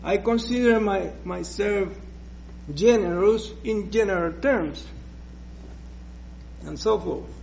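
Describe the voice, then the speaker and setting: Slow, hesitant Puerto Rican preacher. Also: male voice, high-pitched, creaky, darkish tone, muffled recording, a little hiss or hum. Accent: Puerto Rican Spanish. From Puerto Rico. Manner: slow, hesitant, with many restarts. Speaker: guest preacher. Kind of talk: personal testimony in church.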